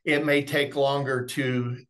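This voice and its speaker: Middle aged guy doing a tech webinar, pretty even pitch, folksy explainer type. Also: measured pace. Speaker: remote monitoring specialist presenting product training. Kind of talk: webinar technical training. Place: indoors, on a video call.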